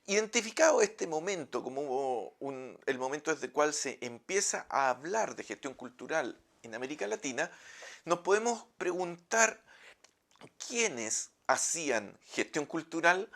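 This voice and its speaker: A man's voice: on the high side, very animated, measured pace, a bit bright. A middle-aged Chilean man with a Chilean accent, a journalism professor giving a recorded lecture from his office, explaining and posing questions to his audience. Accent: Chilean Spanish.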